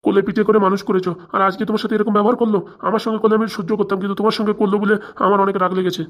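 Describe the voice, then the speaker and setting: A woman's voice: medium pitch, little pitch movement, very fast, close to an everyday voice. A woman voicing a stern but protective older husband and father in a cartoon, exasperated and coaxing. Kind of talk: cartoon domestic drama.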